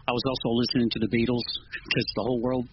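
A man's voice: mid-range voice, flat delivery, fast. A man speaking as a musician guest in a casual interview, earnest and modest in manner. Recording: dull lo-fi with clear noise.

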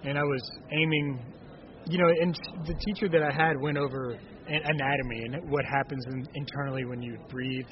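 A man in his thirties with a raspy speaking voice, a singer-songwriter answering interview questions in a halting way with many restarts. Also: mid-range voice, steady tone. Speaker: bluegrass singer-songwriter, guest. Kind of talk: casual interview talk. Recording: dull lo-fi audio with clear noise.

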